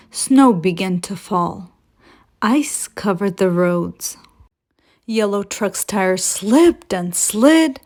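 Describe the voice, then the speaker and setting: A woman reading a storybook aloud for children with deliberate, dramatic phrasing. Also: mid-range voice. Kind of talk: expressive storybook read-aloud.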